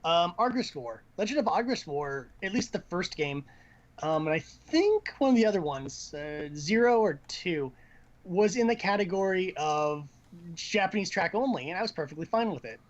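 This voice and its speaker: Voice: medium pitch, animated, moderate pace. Casual gamer chatting over lo-fi audio. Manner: informal, opinionated, mildly profane. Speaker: live stream co-host. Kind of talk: casual gaming chat. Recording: dull lo-fi voice-chat audio.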